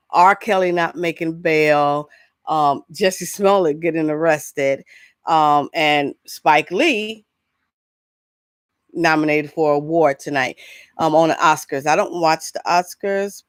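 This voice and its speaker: A woman's voice: low-pitched, no echo. Chatty middle-aged woman hosting, close dry sound. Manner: chatty, loose and rambling, often breaking off into asides. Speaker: host of an entertainment news show. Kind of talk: casual solo vlog chat with announcements.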